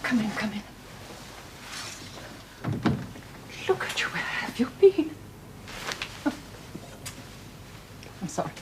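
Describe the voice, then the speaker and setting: Weepy old mum fussing over her boy, low voice, pitch all over the place. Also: lively intonation, moderate pace, noisy background. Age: older. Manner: fussing, rambling, tearful. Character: tearful doting elderly mother. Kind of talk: radio drama acting.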